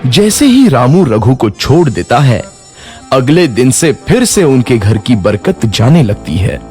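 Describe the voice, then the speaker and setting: A man narrating a children's cartoon story in a lively, expressive way. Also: medium pitch, unhurried, soft background music.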